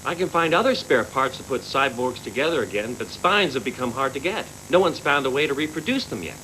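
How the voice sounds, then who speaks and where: An easygoing, kindly cybernetics doctor in an anime dub. His medium-pitched delivery is lively, and clear tape noise runs behind it. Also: fairly quick. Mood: matter-of-fact. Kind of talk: anime English dub acting. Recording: noisy old VHS tape dub.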